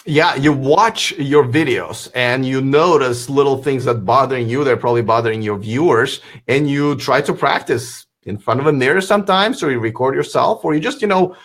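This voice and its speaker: Blunt man in his forties, medium pitch. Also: moderate pace, few pauses. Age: 40s. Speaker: guest video creator. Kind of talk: casual advice chat.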